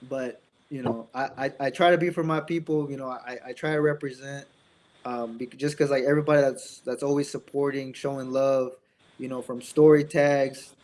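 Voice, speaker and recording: A man's voice: mid-range voice, fairly even intonation, normal speed, a little mellow, a little hiss or hum. A young man raised in Indiana with an American accent, talking casually as an interview guest and acting out bits of dialogue as he tells stories. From born in Mexico, raised in Indiana, United States.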